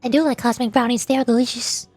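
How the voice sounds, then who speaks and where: A woman's voice: a little high, fairly even intonation, quick pace, darkish tone, slightly rough, dry recording, faint music behind. A woman livestreamer chatting casually over a game in a bubbly, rambling, giggly way. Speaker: livestreamer, VTuber.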